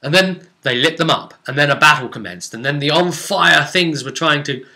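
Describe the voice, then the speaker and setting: A man's voice: fairly high pitch, normal speed, bright, forceful tone. A man casually recapping a tabletop role-playing session as game master, telling it dramatically in a rambling, vivid way. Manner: dramatic, rambling retelling with vivid action descriptions. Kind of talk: casual animated storytelling.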